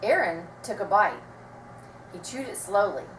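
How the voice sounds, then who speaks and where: A woman reading a children's story aloud with expressive, dramatic storytelling delivery. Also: medium pitch, measured pace. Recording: roomy, distant mic, faint noise.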